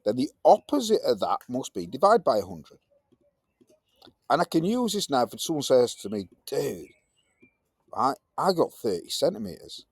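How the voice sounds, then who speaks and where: A man in his fifties with a Yorkshire accent, teaching a science lesson in a chatty, lively manner with British slang. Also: mid-range voice, moderate pace, husky, no room sound, clean studio sound. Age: 50s. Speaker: tutor. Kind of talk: recorded tutoring lesson.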